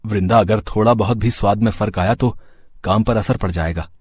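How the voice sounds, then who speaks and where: A man's voice: on the low side, a little fast, darkish tone, breathy edge, no echo, studio quality. A man voicing a humble, caring husband and street sweet seller in a cartoon story, earnest in manner. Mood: worried.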